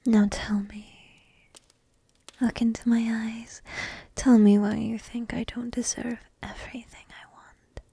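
Woman voicing a petite dragon princess, breathy whisper, unhurried, coy and tender, close dry mic, fantasy ASMR roleplay. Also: a little high, level tone, mellow, dry recording, full character voice. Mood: coy, insistent. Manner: slow, intimate, coy. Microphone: close microphone, dry.

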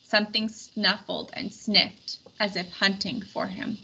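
A woman reading a storybook aloud in expressive scripted narration. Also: medium pitch, measured pace, gravelly. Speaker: storybook reader. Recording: dull recording with faint background noise.

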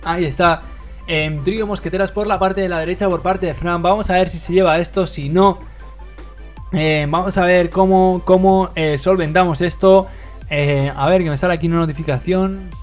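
Excitable Spanish man casting a game. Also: sits high, normal speed, soft background music. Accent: Spain Spanish. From Madrid, Spain. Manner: excitable play-by-play, many fillers. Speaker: livestream host and caster. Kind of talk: live game commentary.

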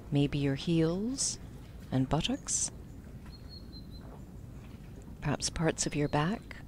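Woman, meditation instructor, slightly low voice, gentle guided narration, soft phrase endings, faint background noise.

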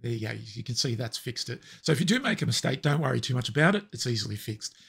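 A man with a raspy voice explaining software steps in a relaxed, step-by-step tutorial. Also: medium pitch, fast talker. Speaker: tutorial presenter. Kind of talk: screen-recorded software tutorial.

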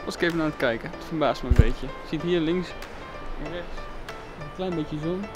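Young Dutch guy, light high voice, teasing and playful, music under the camera audio. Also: a little fast. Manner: playful, teasing, laughs easily. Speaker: vlog co-host. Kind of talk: casual chat.

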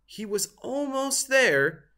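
A storybook narrator reading aloud expressively for children, with deliberate theatrical emphasis. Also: high-pitched, big pitch swings, bright, forceful tone.

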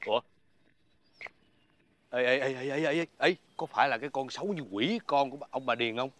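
A man's voice: medium pitch, brisk, a bit bright, natural voice. A man playing a rude, scornful young master in a fairy-tale television drama, brash, with a Southern Vietnamese accent. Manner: brash, sneering.

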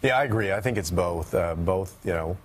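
A man in his thirties on a TV panel, speaking in a measured, steady way. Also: low-pitched, a little hiss or hum. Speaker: mayor guest. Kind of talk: TV panel discussion. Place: TV studio set.

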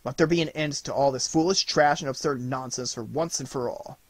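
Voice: medium pitch, brisk, dry recording, natural voice. Reader playing stern middle-aged father, storybook, lecturing, dry sound. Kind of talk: audiobook character reading.

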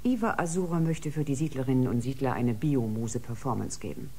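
An actress playing a composed, caring ship doctor in a radio drama, speaking in a measured, diplomatic way. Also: female voice, a bass voice, animated, warm tone, slightly rough, full character voice. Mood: composed, persuasive. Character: composed, caring female ship doctor and scientist, tactfully persuasive.

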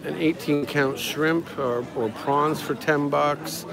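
A man's voice: a little high, steady tone, slow, gravelly. A man giving an offhand, rambling walking-tour commentary as a travel vlogger, talking casually as he goes. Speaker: live-streaming travel vlogger and guide. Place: busy outdoor market street. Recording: outdoor street with crowd and traffic. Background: street crowd, passing vehicles.

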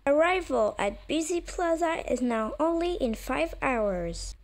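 Woman as a high-voiced, polite train driver. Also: animated, unhurried. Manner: orderly, announcing. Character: polite train driver making passenger announcements. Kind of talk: animated roleplay announcements.

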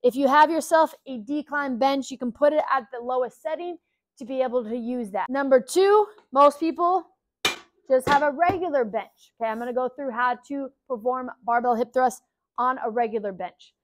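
Higher-voiced woman, upbeat coaching, dry sound, demonstrating lifts in a gym. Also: moderate pace, dry recording. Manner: upbeat, instructive, checks in with "okay?". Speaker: fitness coach. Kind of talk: exercise tutorial. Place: indoor gym.

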